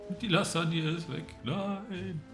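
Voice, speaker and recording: A woman's voice: on the low side, fairly even intonation, slow. A woman game streamer giving casual, muttered live commentary during a playthrough. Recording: roomy, game music behind.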